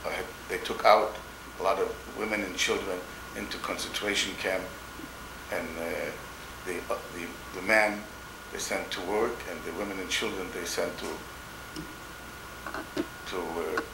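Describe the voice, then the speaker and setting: An older man from Poland giving oral history testimony in a slow, halting way, often repeating words. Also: low-pitched, fairly even intonation, gravelly. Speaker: interviewee, Holocaust survivor. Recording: echoey room with background noise.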